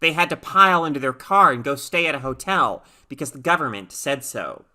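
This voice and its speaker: A woman reading a short story aloud as an expressive, dramatic narrator. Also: low-pitched, a little fast. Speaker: story reader.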